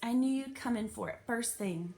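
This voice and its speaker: A woman's voice: on the high side, moderate pace, mellow, close to an everyday voice. Woman reader as a kindly, apologetic school librarian. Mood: gentle.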